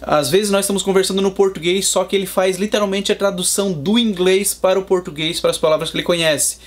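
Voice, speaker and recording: A Brazilian man in his thirties, a father, answering interview questions in a measured, explanatory way. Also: high voice, steady tone. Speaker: guest, Brazilian father in Boston.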